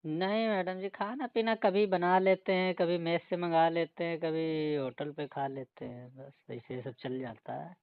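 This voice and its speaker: A man's voice: a little high, fairly even intonation, measured pace, few pauses, clear, resonant tone, no echo. Guy acting as humble village security guard, deferential n hesitant, phone chat, dull dry lo-fi sound. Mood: plain, resigned. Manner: deferential, hesitant, plain rustic talk. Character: humble married village man working as city security guard. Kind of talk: staged casual phone conversation. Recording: dull, lo-fi, dry call-style recording.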